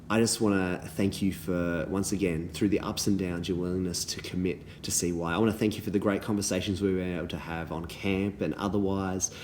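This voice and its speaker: A man, a little hoarse from losing his voice, giving earnest thank-you messages to youth leaders with many ums and restarts. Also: sits low, a little mellow, a little hiss or hum.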